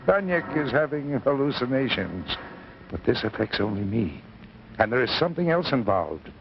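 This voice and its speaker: An actor playing a proud, troubled physics professor giving formal, grave narration in a 1950s drama, on an old broadcast recording. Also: male voice, mid-range voice, big pitch swings, moderate pace, creaky, highs cut off, noisy background. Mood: grave, urgent.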